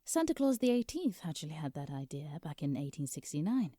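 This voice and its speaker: British female lawyer, played by an actress, audio comedy. Mellow, close dry sound, businesslike and put-upon. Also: low-pitched, big pitch swings, a little fast, no echo. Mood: dry, explanatory. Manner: formal, explanatory, dry. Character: exasperated, businesslike female lawyer for Santa Claus. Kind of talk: scripted comedic audio roleplay.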